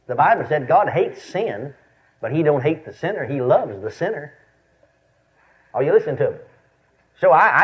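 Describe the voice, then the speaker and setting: A man in his fifties with a Texan accent preaches a folksy, storytelling sermon, repeating phrases for emphasis and checking in with his listeners. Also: a little high. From Texas, United States. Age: 50s. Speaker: preacher and Bible teacher. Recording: dull, lo-fi sermon recording.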